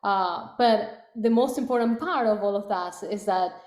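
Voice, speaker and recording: A woman's voice: medium pitch, a little slow, a little mellow. Spanish-accented woman in an echoey room. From Latin America. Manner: hesitant, frequent fillers and restarts. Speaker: product leader. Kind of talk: panel conversation.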